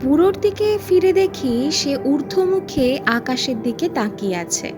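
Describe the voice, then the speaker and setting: A woman's voice: high voice, animated, measured pace, over music. A woman storyteller giving a dramatic scripted narration of a horror story.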